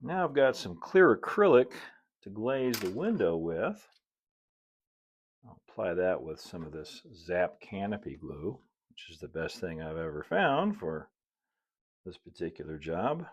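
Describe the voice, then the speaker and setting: A middle-aged man with a very low voice hosts a craft tutorial. He talks through each step in an easygoing, folksy manner, dropping in chatty asides as he goes. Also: big pitch swings, measured pace. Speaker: model railroad builder and video host. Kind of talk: casual hobby tutorial narration.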